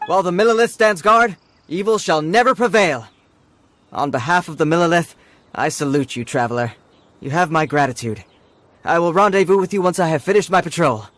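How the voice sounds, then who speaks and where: An actor playing an earnest, dutiful city guard in a video game, speaking in a formal, official way. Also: male voice, on the high side, fairly quick, fairly bright tone, no room sound, close to an everyday voice. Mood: proud, solemn. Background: game music.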